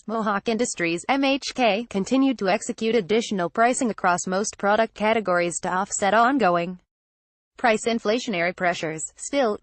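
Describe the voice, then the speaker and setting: A woman reads scripted financial news in a formal, measured way. Her voice sits at a middle pitch. The sound is very dry, with no room around it. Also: dry recording. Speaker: narrator reading a financial news article.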